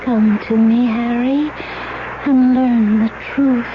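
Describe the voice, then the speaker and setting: Slow, seductive radio temptress. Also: female voice, on the high side, fairly even intonation. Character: seductive temptress Lilith, coaxing and theatrically yearning. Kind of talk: old-time radio drama acting. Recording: muffled, hissy old radio drama recording.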